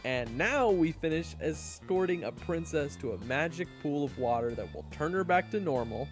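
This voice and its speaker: A man's voice: fairly high pitch, lively intonation, normal speed, lightly acted. A man playing a nervous, incredulous young adventurer in a fantasy audio drama, casual and easily flustered. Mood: amused disbelief. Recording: roomy sound under loud music.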